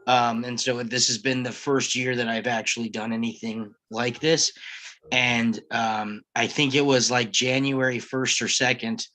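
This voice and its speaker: A man's voice: medium pitch, flat delivery, unhurried, pushed and bright, rough recording. A man casually telling how he joined a live table read podcast, rambling with fillers and laughs, speaking over a video call.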